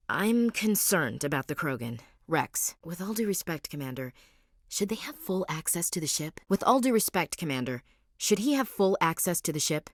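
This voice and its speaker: A woman's voice: low-pitched, dry recording. A woman with an American accent playing a young, dutiful, frank marine soldier with dry humour in a video game. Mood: formal, deferential. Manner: crisp military address, frank, dry humour. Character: blunt, dutiful young female marine soldier, guarded and wry.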